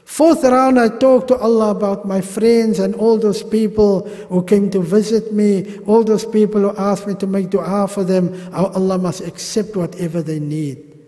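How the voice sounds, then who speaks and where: A middle-aged South African man teaching a pilgrimage class at a mosque podium, animated and gesturing, with humorous asides. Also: sits high, normal speed. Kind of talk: religious class lecture. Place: large mosque hall. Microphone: gooseneck podium mic. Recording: long reverb of a mosque hall.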